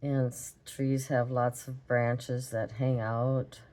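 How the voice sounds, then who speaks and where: A hoarse, raspy woman with a Minnesotan accent, chatting casually as she draws in an art tutorial vlog. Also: very deep voice, flat delivery, slow pace, mellow. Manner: chatty, rambling, talks while drawing. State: hoarse from a cold. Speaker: art vlogger. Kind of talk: relaxed drawing tutorial chat.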